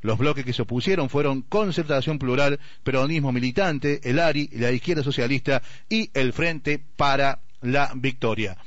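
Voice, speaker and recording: A man's voice: medium pitch, moderate pace, fairly bright tone, no echo, highs cut off. Dry-sounding Argentine male radio reporter. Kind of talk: scripted radio news report.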